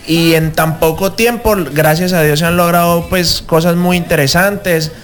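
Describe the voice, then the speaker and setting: A man's voice: fairly high pitch, fairly even intonation, moderate pace, fairly bright tone, faint music behind. A young Colombian man from Cali with a Colombian accent, a singer being interviewed on the radio, talking in a grateful, humble way with many fillers. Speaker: guest singer.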